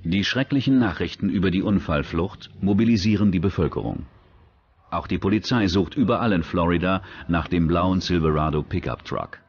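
A man reading scripted true-crime documentary narration in a measured, factual way. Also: low-pitched, slightly rough, muffled recording.

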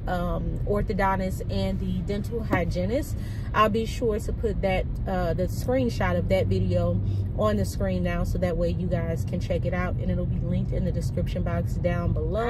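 A woman in her thirties chatting casually to viewers from the driver's seat, her speech slightly altered by new aligner trays. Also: medium pitch. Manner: chatty, animated, talks to viewers as "y'all" and "child". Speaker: vlogger. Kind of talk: casual chat to camera. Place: inside a car. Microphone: phone or camera on the dashboard. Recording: car interior with road noise.

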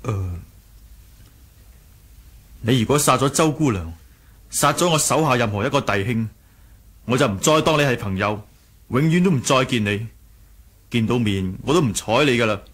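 Husky, earnest young hero. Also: male voice, medium pitch, expressive, moderate pace, pauses often. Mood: sincere. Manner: sincere, hesitant, soft-hearted. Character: earnest, gentle young martial hero and rebel leader. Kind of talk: Cantonese 1980s radio drama acting.

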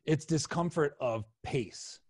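A middle-aged man hosting a casual podcast, explaining ideas in a halting way as he thinks aloud. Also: fairly high pitch, breathy edge, no room sound, clean studio sound. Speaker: podcast host, video editor. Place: indoor room, video call. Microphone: podcast mic on an arm, headphones.

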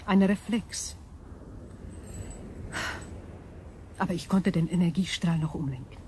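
An actress voicing an ancient, logical female crystal being in a radio drama, speaking in a measured, formal and deliberate way. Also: fairly low pitch, warm tone, close to an everyday voice. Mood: stern. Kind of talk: German radio drama acting. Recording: clear background noise.

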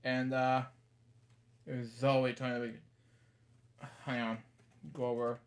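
A man's voice: mid-range voice, level tone, takes it slowly, slight background noise. A man in his thirties reading a picture book aloud in a small bedroom, rambling with casual asides and stock phrases.

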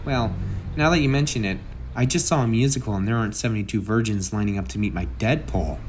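A man's voice: lowish voice, fast talker, darkish tone, slight background noise, lightly acted. A man playing a brash, sarcastic masked antihero with a kind streak, in a theatrical comic book dub. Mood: brash, confiding.

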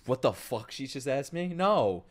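A man doing casual live-stream reaction commentary to game trailers, excitable, slangy and profane. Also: medium pitch, measured pace. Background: game trailer music.

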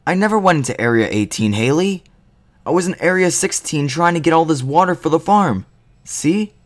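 Guy playing exasperated young survivor dude, pitch jumps around a lot, minecraft roleplay. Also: expressive, normal speed. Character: Murphy, laid-back, exasperated young male spaceship survivor. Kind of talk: amateur game roleplay voice acting.

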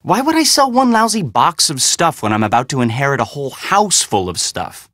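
An actor voicing a guarded, weary young heir in a video game, with a casual, sarcastic, slightly awkward manner. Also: male voice, on the high side, very animated, fairly quick, pushed and bright, no echo, clean studio sound. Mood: defensive, irritated. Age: young adult. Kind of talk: video game dialogue acting.

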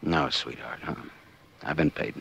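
An actor playing a wry, hardboiled private detective in a 1940s radio drama, with an American accent and a sardonic, clipped manner. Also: male voice, a bass voice, unhurried, creaky, a bit bright, slightly rough, heavily acted. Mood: cool, businesslike. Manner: sardonic, clipped, world-weary. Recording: dull 1940s radio recording.

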